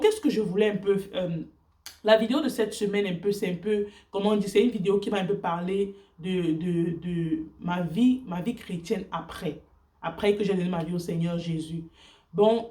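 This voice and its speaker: A woman whose first language is English speaks in earnest, halting French, with many fillers and restarts, sharing her faith on a casual vlog. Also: medium pitch, dark tone, recorded from a distance.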